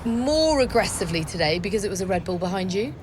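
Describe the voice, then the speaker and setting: A woman's voice: medium pitch, lively intonation, fast talker, noisy background, close to an everyday voice. A woman playing a polite, probing motorsport reporter, professional and direct, in a game interview scene. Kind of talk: game acting of a broadcast interview.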